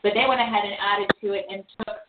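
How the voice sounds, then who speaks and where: A woman's voice: medium pitch, fairly even intonation. Woman host, chatty and teasing, tinny phone sound, roomy echo, faint hiss. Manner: chatty, teasing, quick asides. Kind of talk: casual recap show with callers. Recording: echoey, tinny phone line with faint noise.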